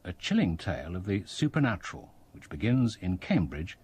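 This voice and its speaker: A man's voice: low voice, big pitch swings, moderate pace, darkish tone, slightly rough. A man with a British accent reading a scripted announcement as a radio continuity announcer.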